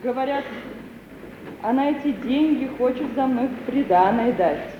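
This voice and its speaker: A woman's voice: high voice, unhurried, distant mic. An actress playing a modest young orphan girl, earnest, plaintive and proud, in 1950s Russian radio drama style. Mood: anxious. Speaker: actor. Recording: muffled, echoing old radio recording, slight noise.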